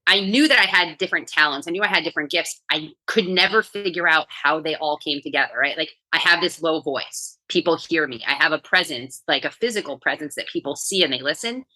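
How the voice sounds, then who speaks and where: A middle-aged woman from New York with a low voice and a bright, forceful edge. She tells her story in a chatty, energetic way as a podcast guest. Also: fairly quick, few pauses, low-quality recording. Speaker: guest, coach and author. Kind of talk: casual podcast interview.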